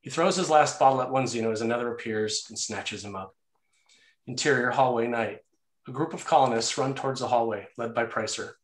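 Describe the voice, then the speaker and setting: A man's voice: medium pitch, fairly quick. A man narrating action and scene headings from a screenplay in a scripted read. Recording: roomy, echoey sound.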